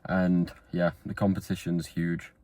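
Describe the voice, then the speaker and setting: A man's voice: deep voice, level tone, unhurried, dark tone, no room sound. A man, talking straight to camera in a rambling, earnest motivational monologue. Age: 20s. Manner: rambling, earnest, with fillers and restarts. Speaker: vlogger.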